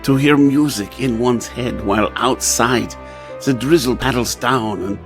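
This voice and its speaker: An actor playing a haunted, rapturous composer, delivering a poetic, theatrical radio play monologue. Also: male voice, medium pitch, a little slow, soft background music, close to an everyday voice. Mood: wistful, rapturous. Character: haunted, rapturous male composer narrating his torment. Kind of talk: dramatic first-person radio play monologue.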